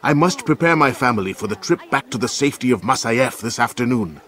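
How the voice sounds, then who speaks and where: Man acting cordial assassin informant, mid-pitched. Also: animated, fast talker, natural voice. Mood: friendly, urgent. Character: friendly but cautious male assassin informant, family man. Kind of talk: video game cutscene acting. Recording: loud music behind the voice.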